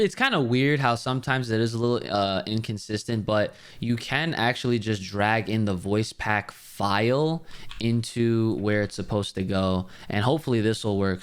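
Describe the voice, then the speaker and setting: A man giving a casual software tutorial, explaining things conversationally and speaking directly to the viewer. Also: on the low side, a little hiss or hum. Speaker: tutorial host. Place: voice-over on a screen recording of a game.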